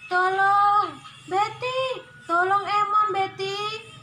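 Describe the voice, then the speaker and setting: A kind, helpful young monkey, voiced by a woman in a puppet tale. The voice is high and childlike with stretched endings and an unhurried pace, over clear noise. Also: steady tone, bright, forceful tone, full character voice. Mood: pleading.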